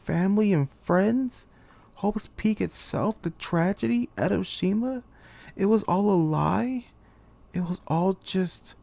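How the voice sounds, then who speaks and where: Man acting a despairing young commander, creaky voice. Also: high voice, animated, dark tone, heavily acted. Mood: outraged, distraught. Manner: theatrical, halting, anguished delivery. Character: despairing, betrayed young male military commander in a deadly game. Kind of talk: dramatic monologue, anime-game fan voice reel.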